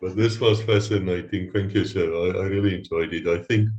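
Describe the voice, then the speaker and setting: A man hosts an interview in a very low voice, earnest and respectful toward his guest. His voice rings in a noticeably roomy space. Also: fairly even intonation, brisk, dull audio. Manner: earnest, warm praise of guest. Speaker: host. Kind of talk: casual interview talk.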